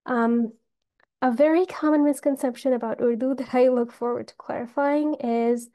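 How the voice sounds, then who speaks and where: A woman's voice: high-pitched, fairly even intonation, moderate pace, warm tone. A young adult woman from Pakistan with a Pakistani accent, a language tutor introducing herself unscripted, earnest and piling up listed phrases with fillers. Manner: earnest, listing phrases one after another, with frequent fillers. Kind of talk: unscripted self-introduction to camera.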